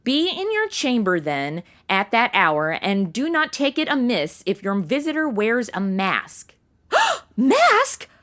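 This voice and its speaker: A woman playing an irreverent, slangy American sidekick in a comedy audio drama, expressive and flippant. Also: on the high side, takes it slowly, exaggerated voice. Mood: alarmed, insistent.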